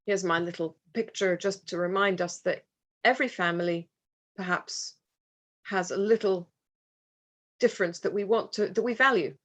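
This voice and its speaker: A woman presents at a conference in a reflective, earnest way. Her voice sits in a middle pitch. She often pauses and restarts her sentences as she works through her slides. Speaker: conference speaker, parent. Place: conference hall.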